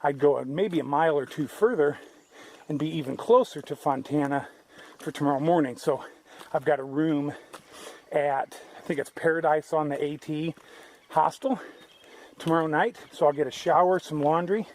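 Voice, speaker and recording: A man's voice: fairly high pitch, moderate pace, darkish tone, slight background noise. Rambling man vlogging on a trail. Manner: rambling, conversational, many restarts. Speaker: thru-hiker vlogger. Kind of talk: casual trail vlog. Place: outdoors on a mountain trail.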